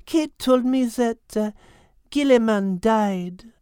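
A man voicing a gentle, caring female cat in a storybook reading, speaking softly and earnestly. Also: extremely high pitch, clean tone, no echo, studio quality, pitched above the performer's own voice. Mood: concerned. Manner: soft, slow and earnest, sprinkled with French words.

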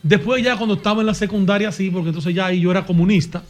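A man's voice: sits high, racing, over a noisy crowd. A man from the Dominican Republic with a Dominican accent, telling casual, colloquial anecdotes as a guest on a radio show. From Dominican Republic, grew up in the small town of Castillo.

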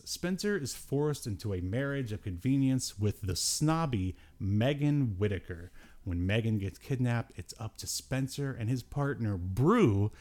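A man's voice: mid-range voice, dark tone. A man in his forties hosting a podcast, chatting casually about films in a rambling way with wry asides. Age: 40s. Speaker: podcast host. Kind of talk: casual film review chat.

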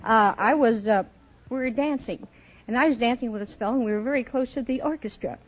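A woman's voice: a little high, brisk. An American woman from Chicago, chatting and telling anecdotes as a contestant on an old radio quiz show. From Chicago, United States. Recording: muffled old radio recording, audience, background noise.

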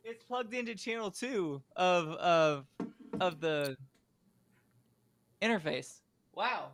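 A man's voice: high voice, animated, slow, a bit bright, slight background noise. A man chatting casually as co-host of a podcast. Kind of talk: casual chat.

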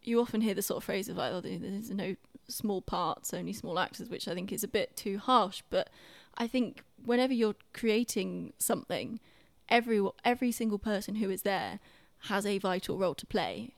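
A woman's voice: medium pitch, moderate pace, gravelly, few pauses, no echo. A young woman hosting a casual arts chat, speaking informally with self-deprecating hedges, close to the microphone. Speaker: podcast host, theatre director.

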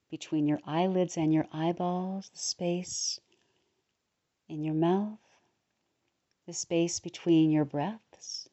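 A woman leading a guided relaxation meditation in a soothing, unhurried manner, pausing between short phrases. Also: low-pitched, dark tone, muffled recording. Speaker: guided meditation leader, podcast host.

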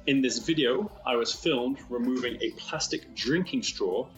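A man with a medium-pitched voice gives a prepared, expert explanation for a young listener. His phrasing is formal and encouraging, and music plays behind him. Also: normal speed, lo-fi sound. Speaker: marine biologist answering a child's question. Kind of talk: prepared explanation addressed to a child.

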